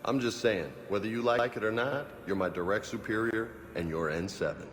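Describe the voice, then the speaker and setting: A man's voice: on the low side, normal speed, a bit bright, lightly acted. A man playing a cocky, eager young marine lieutenant in a video game, casual, swaggering and playful. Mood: cocky, earnest.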